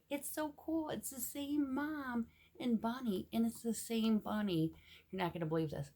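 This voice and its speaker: A woman reading a picture book aloud to young children with gentle, expressive asides that sometimes drop into a hush. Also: fairly high pitch. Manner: gentle, expressive, often drops into hushed whispered asides.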